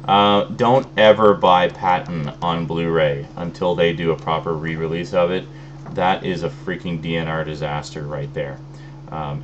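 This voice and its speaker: Low-voiced man rambling through an unboxing. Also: highs cut off, background noise. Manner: relaxed, rambling, many fillers. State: coming down with a cold, phlegmy. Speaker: video host. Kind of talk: casual unboxing chat.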